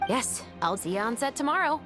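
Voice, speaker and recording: A woman voicing a calm, composed female officer in a video game, speaking in a steady, matter-of-fact way. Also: on the high side, a little fast, music in the background. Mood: friendly. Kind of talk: game voice acting.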